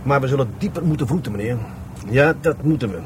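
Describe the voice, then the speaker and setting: Actor as plain-spoken adjutant, faint noise behind. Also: male voice, mid-range voice, expressive, quick pace, lightly acted. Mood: reasoning aloud. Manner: colloquial, matter-of-fact. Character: plain-spoken, stubborn middle-aged police adjutant. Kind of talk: Dutch radio play acting.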